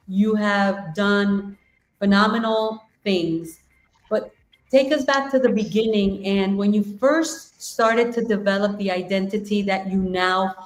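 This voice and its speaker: A woman's voice: mid-range voice, roomy sound. Effusive, encouraging female podcast host. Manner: effusive and encouraging, with many "right?" and "I love that". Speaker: podcast host, business coach. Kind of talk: casual interview conversation.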